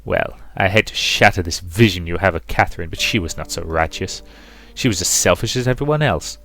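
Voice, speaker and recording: A man voicing an ancient, callous male predator who feeds on people, talking in a smooth, condescending, amused way. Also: low-pitched, brisk, a bit bright. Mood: cold. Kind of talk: visual novel voice reading.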